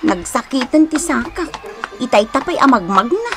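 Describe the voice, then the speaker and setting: An actress with a Filipino accent playing a giggly, teasing matchmaker friend in a radio drama. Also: female voice, sits high, very animated, moderate pace. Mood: breezy. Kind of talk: Ilocano radio drama acting.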